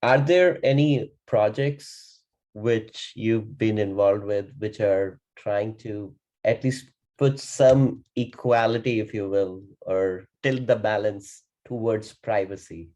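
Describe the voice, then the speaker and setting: A man co-hosting a podcast, asking questions and reading the outro in a measured way. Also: lowish voice, darkish tone, highs cut off. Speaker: podcast co-host. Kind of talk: interview questions and scripted outro.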